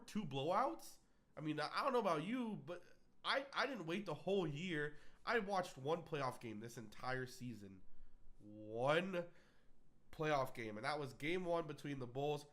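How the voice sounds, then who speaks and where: A man from Miami talks through a cold, his voice stuffed up and nasal. He sits a little high in pitch and rambles through an emphatic sports opinion full of "uh"s and restarts, as the host of his own channel. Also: fairly bright tone. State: congested, under the weather. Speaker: sports vlogger. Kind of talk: casual sports opinion monologue.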